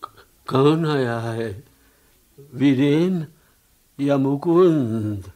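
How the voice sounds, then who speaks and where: An actor playing a frail, breathless old revolutionary in a radio drama, affectionate and mournful, speaking haltingly. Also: male voice, medium pitch, dark tone. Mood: weary, searching. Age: older. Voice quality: breathless, weary. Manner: halting, drawn-out speech with rhetorical questions.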